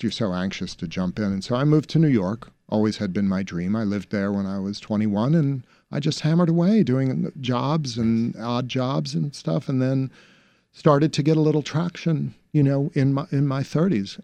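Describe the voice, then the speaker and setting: A man's voice: mid-range voice, moderate pace, vocal fry, warm tone, no echo. A man in his forties from Pittsburgh, an actor talking casually in an interview, thoughtful, often restarting sentences and laughing easily. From Pittsburgh, USA. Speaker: stage actor guest. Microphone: studio mic.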